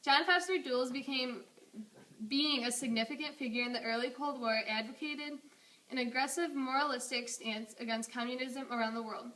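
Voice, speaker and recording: Young woman reading news script, high sharp voice, distant mic. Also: moderate pace. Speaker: news presenter in a history project. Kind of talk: scripted amateur news segment. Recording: distant mic with faint noise.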